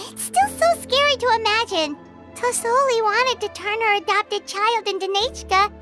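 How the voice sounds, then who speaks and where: An extremely high, childlike video game sidekick, tiny and loyal. The delivery is chirpy and emphatic with lively swoops in pitch, over clearly audible music. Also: female voice, unhurried, heavily acted. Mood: uneasy. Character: tiny childlike companion creature, excitable, loyal, sympathetic.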